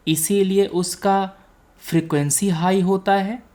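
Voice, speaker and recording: A woman's voice: mid-range voice, fairly even intonation, takes it slowly, mellow. A woman with an Indian accent giving an explanatory lecture as a teacher, repeating key points and checking for understanding. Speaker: teacher, exam coaching instructor.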